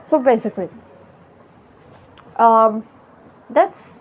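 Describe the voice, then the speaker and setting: A woman with a Serbian accent musing aloud about life in a halting, self-correcting way, swearing casually now and then. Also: on the high side. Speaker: vlogger. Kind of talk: rambling philosophical musing. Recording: muffled lo-fi audio.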